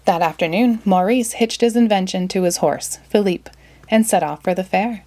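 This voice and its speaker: Female storyteller, medium pitch, expressive children's read-aloud narration. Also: moderate pace.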